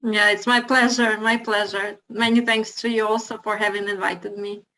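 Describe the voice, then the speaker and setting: A woman whose first language is Russian, a guest English teacher trainer, talking enthusiastically and agreeing warmly over a video call. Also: medium pitch, little pitch movement, normal speed, poor audio. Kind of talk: casual conversation.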